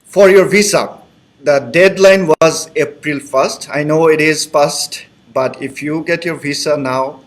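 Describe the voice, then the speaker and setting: A man's voice: a little high, a little slow. Male student advisor. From Bangladesh. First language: Bengali. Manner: friendly, repeats reassurances, loose grammar. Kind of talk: live online information session.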